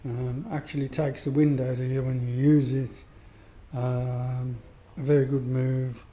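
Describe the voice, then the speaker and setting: Slow, mellow-voiced man touring his gym. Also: mid-range voice, flat delivery. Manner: unhurried, full of fillers, admiring. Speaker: home gym owner giving a tour. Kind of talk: casual walkthrough commentary. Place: small home gym in a garden building, indoors. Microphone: action camera held while walking.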